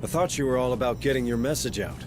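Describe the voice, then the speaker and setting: Very loud music runs behind a gruff, commanding Marine sergeant in a game, voiced by a male actor. He is guarded, terse and authoritative, speaking at a middling male pitch. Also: fast talker, lightly acted. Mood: matter-of-fact. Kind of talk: video game voice acting.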